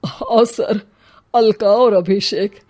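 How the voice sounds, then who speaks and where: Slow, grieving old village father voiced by a woman. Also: medium pitch, a little mellow. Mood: reflective, subdued. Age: older. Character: grieving elderly village father, sorrowful and weary. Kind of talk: dramatised moral story dialogue.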